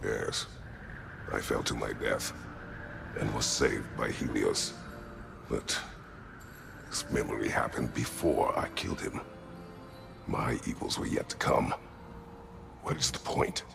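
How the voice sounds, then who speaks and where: An actor playing a brooding, stern warrior god in a video game, gravelly, terse and solemn. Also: male voice, very deep voice, takes it slowly, long pauses, music in the background, exaggerated voice. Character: brooding, stern, battle-worn male Spartan warrior god. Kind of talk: cinematic video game acting.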